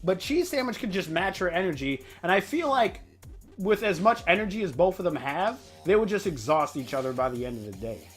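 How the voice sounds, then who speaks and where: A man giving casual reaction commentary in an animated, rambling, exclaiming way. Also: high voice, brisk, breathy edge, faint music behind. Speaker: reaction video host.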